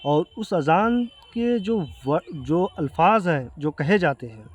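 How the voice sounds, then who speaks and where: Higher-pitched Indian man, earnest and halting. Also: sing-song, husky. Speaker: video creator addressing viewers. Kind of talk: explanatory talk to camera.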